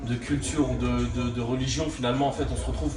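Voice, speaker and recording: Man, comic, quick earnest talk; distant mic, crowd noise. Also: mid-range voice, steady tone, echoey room, music in the background. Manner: earnest, full of fillers. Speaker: comedian. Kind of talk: interview answers.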